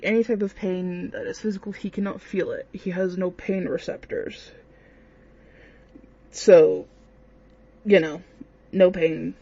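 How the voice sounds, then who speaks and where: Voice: mid-range voice, warm tone. A person giving casual, hesitant, rambling commentary on horror games in an informal way. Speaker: video creator, commentator. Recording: dull lo-fi microphone sound.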